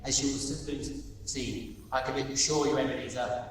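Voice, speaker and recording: A man playing the solemn ghost of a dead business partner in a radio play, speaking gravely and deliberately with portentous weight. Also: medium pitch, fairly even intonation, lightly acted. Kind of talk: amateur radio play acting. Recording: very echoey, distant, faint noise.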